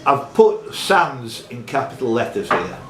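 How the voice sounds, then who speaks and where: A man's voice: medium pitch, big pitch swings, measured pace, a bit bright, a little hiss or hum. A man hosting a live pub quiz, reading questions and chatting teasingly with contestants. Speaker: quiz host. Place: echoey room in a pub. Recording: roomy echo, music in background.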